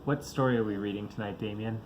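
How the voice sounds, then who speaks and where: A man's voice: fairly low pitch, fairly even intonation, fast talker, darkish tone, faint music behind, rough recording. A father reading a children's picture book aloud, expressively, varying his pace for young listeners.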